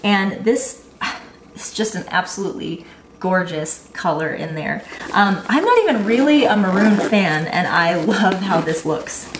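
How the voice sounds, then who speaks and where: Woman, nasal from a cold, bubbly product reviewer, roomy echoey room, faint hiss. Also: medium pitch. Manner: bubbly, gushing enthusiasm. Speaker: online baby boutique owner reviewing bags.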